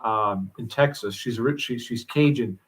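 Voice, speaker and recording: Halting, thoughtful male guest. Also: medium pitch, husky. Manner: thoughtful, halting, many fillers. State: mild headache. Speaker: guest commentator. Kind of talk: casual discussion.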